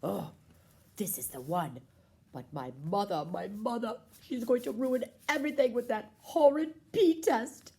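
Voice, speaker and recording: A woman's voice: fairly low pitch, dark tone, pitched below the performer's own voice. A woman voicing an earnest, mischievous young prince in a children's puppet show. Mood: scheming.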